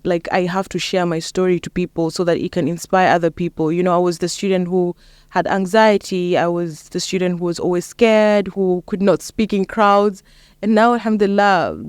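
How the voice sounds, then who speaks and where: A woman's voice: on the low side, darkish tone, clear, resonant tone, no room sound, clean studio sound. A young woman with a Kenyan accent, chatting casually as an interview guest. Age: young adult. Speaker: interview guest, lawyer and mentor.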